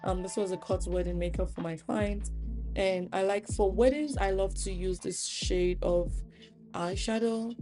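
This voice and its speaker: Hoarse woman with a cold, mellow tone. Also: on the low side. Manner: relaxed, chatty, with fillers. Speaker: makeup artist. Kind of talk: casual makeup tutorial voice-over.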